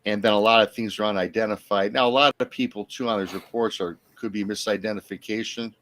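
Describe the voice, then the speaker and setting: An American man chatting casually as a podcast guest, halting and circling back as he talks. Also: on the low side, steady tone, a bit bright. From Michigan, United States. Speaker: guest, Bigfoot researcher and podcaster. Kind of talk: casual conversational podcast talk. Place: remote livestream call.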